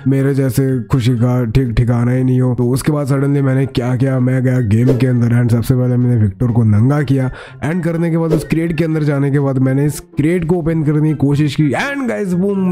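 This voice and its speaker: A man narrating a gaming vlog voice-over, telling his story with drawn-out emphasis. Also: fairly high pitch, warm tone. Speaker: gaming video creator. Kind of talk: scripted vlog voice-over narration, Hindi mixed with English words.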